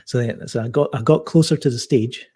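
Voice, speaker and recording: A man talking in a soft voice as a podcast guest, telling a story with many halting restarts. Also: mid-range voice, breathy edge. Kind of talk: casual chat.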